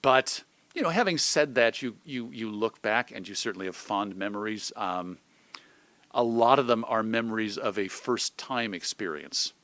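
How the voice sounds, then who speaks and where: A man being interviewed in a reflective, conversational way, speaking thoughtfully and haltingly with repeated words. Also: fairly low pitch, fairly bright tone, dry recording. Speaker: radio host and magazine editor being interviewed.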